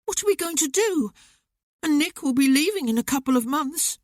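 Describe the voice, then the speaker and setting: A narrator voicing a hot-tempered teenage boy who protests and pleads in a raised, high voice in an audiobook. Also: animated, moderate pace, a little mellow, no echo, studio quality. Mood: distraught. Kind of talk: audiobook character voice.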